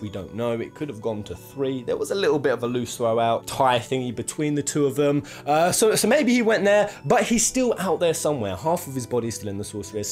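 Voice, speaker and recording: Animated man hosting over background music. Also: mid-range voice. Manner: conversational, informal with swearing. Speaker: video game countdown host. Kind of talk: casual commentary on game lore. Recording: music playing behind the voice. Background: game soundtrack music.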